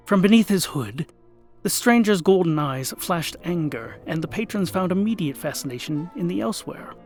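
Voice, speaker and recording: A man with a slightly raised voice tells a comic fantasy story in a theatrical way. He sinks into near-whispers, then swells into loud bursts. Faint music plays under him. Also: high voice, expressive, moderate pace. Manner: theatrical, dropping to hushed whispers and rising to bursts. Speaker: narrator and host. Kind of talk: scripted audiobook narration.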